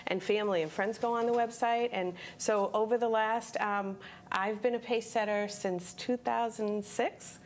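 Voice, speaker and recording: A woman's voice: fairly high pitch, steady tone, moderate pace, slight background noise. A woman from the Dominican Republic, a guest on a TV talk show, chatting casually and laughing easily. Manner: laughs easily, switches into Spanish. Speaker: guest, fundraiser. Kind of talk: casual interview chat. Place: TV studio.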